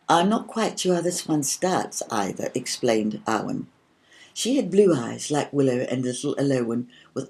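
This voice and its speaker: A woman's voice: rumbling low, warm tone. A woman narrating a scripted children's story as a storybook reader.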